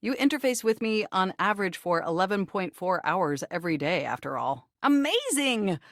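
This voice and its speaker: Dating game character: an anxious, earnest desktop computer, precise and wordy, very animated delivery, dry close sound. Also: female voice, medium pitch, moderate pace, dry recording. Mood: smitten, gushing. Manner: formal, wordy, precise, nerdy diction. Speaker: video game character voice actor. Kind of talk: scripted dating game dialogue.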